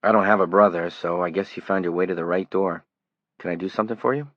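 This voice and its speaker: A man's voice: sits low, fairly even intonation, fast, no room sound, highs cut off, close to an everyday voice. A man voicing a wary young man who speaks politely but firmly. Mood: friendly.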